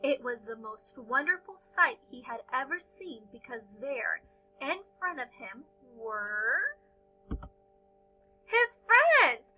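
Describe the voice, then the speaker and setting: A woman reading a picture book aloud to young children as a storyteller, with expressive, dramatic and deliberate phrasing. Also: high-pitched, soft background music, poor audio.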